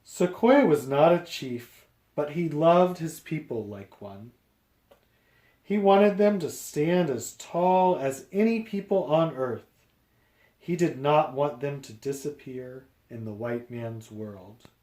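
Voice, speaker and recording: A man reading a picture book aloud to young children, explaining deliberately phrase by phrase. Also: on the high side, lively intonation, darkish tone, echoey room.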